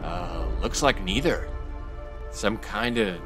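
A man's voice: fairly low pitch, lively intonation, slow pace, music in the background. A man playing a seasoned lookout for an amateur sci-fi crew in an audio drama. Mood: alert, puzzled.

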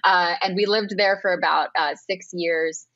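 A woman's voice: lowish voice, fairly even intonation, normal speed, a bit bright, no room sound. A young woman from Lebanon, speaking as a guest on a video call, chatty, with many fillers and asides. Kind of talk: casual video call interview. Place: home room with window. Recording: dull lo-fi video call audio.

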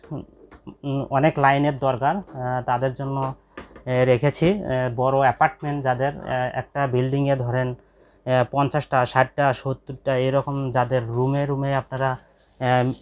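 A man with a Bangladeshi accent gives an informal product demonstration to viewers, pausing hesitantly and repeating key phrases. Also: mid-range voice, darkish tone. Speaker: shop presenter selling office equipment.

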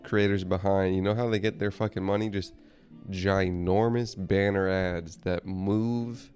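A man's voice: low voice, fairly even intonation, a little slow, a little mellow, soft background music. A young man co-hosting a podcast, rambling and swearing casually as he talks. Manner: rambling and profane, trails off mid-thought. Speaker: podcast co-host. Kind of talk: casual chat.

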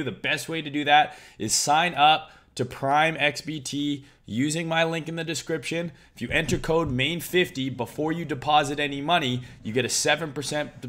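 Young male crypto streamer, hoarse, loud, excitable, casual swearing. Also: mid-range voice. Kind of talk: casual live-stream chart commentary.

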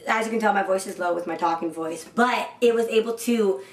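A woman's voice: medium pitch, brisk, distant mic. A woman in her twenties hosting a casual interview at home, chatty and bubbly as she talks about her singing lessons. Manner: bubbly and chatty, with a lot of laughter. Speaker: host, singing student. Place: indoor home room lined with CD shelves. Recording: roomy, a little off-mic.

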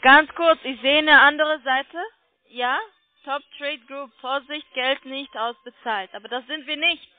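High-voiced woman pleading over the phone. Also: steady tone, unhurried, no echo. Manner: insistent, pleading, repetitive denials. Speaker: call centre agent selling a trading platform. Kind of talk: defensive phone conversation. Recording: thin phone line.

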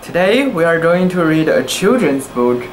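A young man reading a children's book aloud in a casual, halting way, with cooing asides about the pictures. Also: a little high, sing-song, slight background noise, poor audio. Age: young adult.

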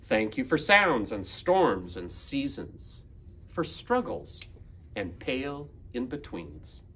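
Male school librarian, fifties, slow and animated storytime delivery for young listeners. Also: mid-range voice, a little hiss or hum. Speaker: school librarian, guest reader. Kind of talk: picture book read-aloud for children. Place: school library room, indoors.

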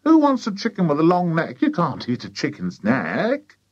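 Sharp, nagging storybook wife, voiced by a male reader, high-pitched and scornful, slightly dull recording. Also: huge pitch swings, moderate pace, breathy edge, exaggerated voice. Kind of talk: children's audiobook character voice.

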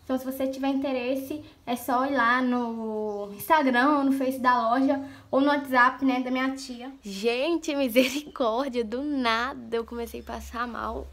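Gushing high-voiced young woman vlogging. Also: fairly even intonation, measured pace, fairly bright tone. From Brazil. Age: young adult. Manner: chatty and gushing, addresses viewers as "gente". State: stuffy nose from a cold. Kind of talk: casual vlog chat to camera. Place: home rooms indoors. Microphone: phone camera at arm's length.

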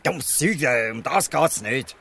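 An actor playing a gruff but good-hearted old fisherman in a Swiss German dialect radio play, scolding and exclaiming. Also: mid-range voice, expressive, slow pace, pushed and bright, slight background noise, full character voice. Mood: exasperated, scolding. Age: older.

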